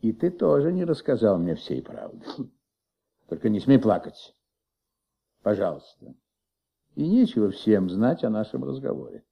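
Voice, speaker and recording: An actor in a Soviet radio play playing a patient, shrewd middle-aged police commissaire with flu, questioning gently in a husky, creaky voice. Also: male voice, on the low side, big pitch swings, normal speed, long pauses, highs cut off, full character voice. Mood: confiding, soothing. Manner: gentle, coaxing, paternal questioning.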